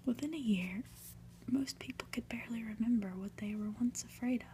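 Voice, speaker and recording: A woman reads a storybook aloud for ASMR in a soft, breathy, gentle whisper, with deliberate, unhurried phrasing. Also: fairly high pitch, slight background noise. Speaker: ASMR reader.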